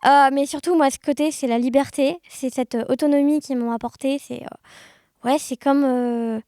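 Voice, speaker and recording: A woman's voice: sits high, fairly even intonation, moderate pace, warm tone, dry recording, clean studio sound. A cheerful woman chatting casually as a podcast guest, with an audible smile. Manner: cheerful, smiling. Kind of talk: casual personal interview.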